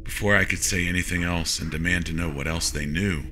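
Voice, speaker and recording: Very deep, forceful male horror narrator. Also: steady tone, fairly quick, slight background noise. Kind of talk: scripted first-person narration of a sci-fi horror story.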